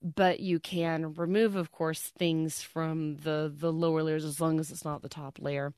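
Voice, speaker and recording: Woman, casual host, slow pace, hesitant with ums, smooth clear voice, dry close sound. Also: deep voice, flat delivery, a little mellow, no room sound. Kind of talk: casual researched chat.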